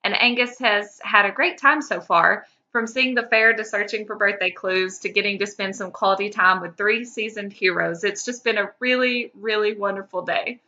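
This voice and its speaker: Bright woman narrating, slightly lo-fi. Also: medium pitch, normal speed, hardly any pauses. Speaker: podcast co-host. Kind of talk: expressive fan fiction narration.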